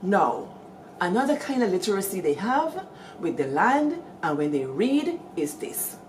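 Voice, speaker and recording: A middle-aged Guyanese woman hosting, telling village stories in a lively, animated way and calling out to her listeners. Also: medium pitch, measured pace, slight background noise. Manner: lively, animated storytelling with call-outs to listeners. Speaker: storyteller host. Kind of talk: storytelling talk.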